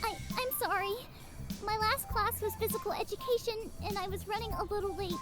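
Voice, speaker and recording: A woman playing a shy, nervous, sweet young schoolgirl in an anime game, timid and soft-spoken. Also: very high-pitched, brisk, faint music behind. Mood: apologetic. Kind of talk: anime visual novel voice acting.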